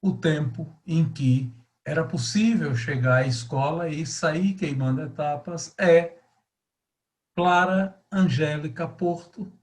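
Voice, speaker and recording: A man hosts, his voice pitched a little high. He delivers a formal introduction in deliberate, oratorical phrases, pausing between them. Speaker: host introducing a guest. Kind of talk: measured, formal introduction to an oral-history interview.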